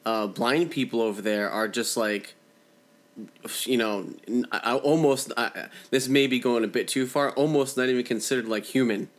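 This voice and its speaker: Male podcast co-host, mid-pitched, relaxed and agreeable, halting with frequent restarts. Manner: easygoing, halting, full of restarts and quick agreement. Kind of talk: casual chat.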